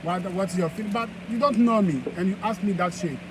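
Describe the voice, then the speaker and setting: A man with a fairly high voice talks in halting bursts, repeating words as he hunts for them. He is outdoors, and street noise runs clearly behind him. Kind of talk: casual street chat.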